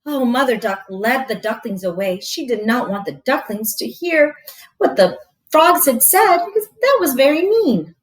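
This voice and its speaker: A woman reading a storybook aloud to children as a teacher, expressive and explaining things simply. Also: a little high, low-quality recording. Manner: expressive, explains to young listeners.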